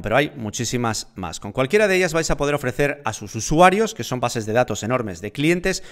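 A man, seated indoors and giving a steady, step-by-step tutorial on online selling. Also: medium pitch. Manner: explanatory, steady, walks through steps. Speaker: online business instructor. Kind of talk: screen-share tutorial. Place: indoor room, seated at desk.

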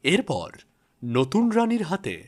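A man's voice: fairly high pitch, huge pitch swings, takes it slowly. A man narrating a scripted fairy tale for a cartoon, with music behind him. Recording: loud music bed behind voice.

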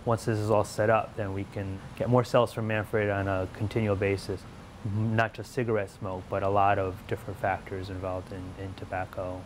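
A man's voice: lowish voice, moderate pace, a little hiss or hum. Middle-aged man chatting in a lab. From Taiwan, grew up in the US. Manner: relaxed, frequent fillers and restarts. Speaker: research scientist. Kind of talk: casual interview about his lab work. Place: indoor laboratory.

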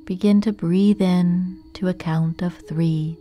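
A woman narrates a guided meditation very slowly in a dark, relaxed voice. Her delivery is gentle and soothing, with long pauses between phrases. Faint music plays behind her. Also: on the low side.

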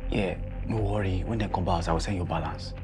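Guy doing a shady nigerian pidgin dude on the phone, low and hushed, music behind. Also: fairly even intonation, a little fast, close to an everyday voice. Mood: scheming. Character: scheming man bribing someone by phone. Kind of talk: film drama acting.

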